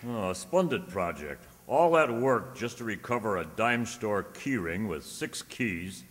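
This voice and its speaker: An actor playing a pompous, sharp-tongued doctor who delivers wordy, dry insults in an old-time radio comedy. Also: male voice, fairly low pitch, lively intonation, measured pace. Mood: sarcastic, grand. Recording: echoey hall stage recording.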